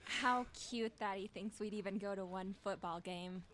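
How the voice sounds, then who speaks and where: A woman's voice: mid-range voice, normal speed, a bit bright, a little hiss or hum, lightly acted. An actress playing an exasperated, sardonic teenage schoolgirl in an audio drama. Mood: dry, sardonic. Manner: dry, sardonic.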